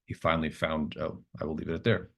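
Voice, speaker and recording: A man's voice: a bass voice, little pitch movement, fast, creaky, a bit bright. A man narrating a tabletop roleplaying game as game master, explaining and improvising in a hesitant, thinking-aloud manner.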